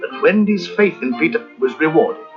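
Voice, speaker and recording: A man narrating a scripted children's storybook in a dramatic, expressive way. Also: a little high, normal speed. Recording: muffled cassette with music behind.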